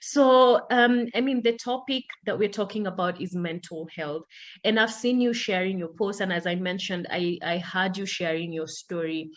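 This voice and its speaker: A woman's voice: medium pitch, normal speed. A woman hosting an interview show, chatting casually and affirming her guest often over a video call. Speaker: podcast host.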